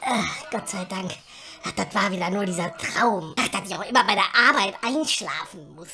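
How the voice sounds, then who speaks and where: A woman playing a cheeky, excitable female elf in a comedy audio drama, dramatic and quick to grumble. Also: medium pitch. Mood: weary, relieved.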